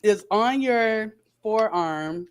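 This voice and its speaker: A woman's voice: medium pitch, animated, very slow, no echo, clean studio sound. A woman in her forties co-hosting a casual podcast chat, who laughs often and reads out viewer comments. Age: 40s. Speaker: podcast co-host.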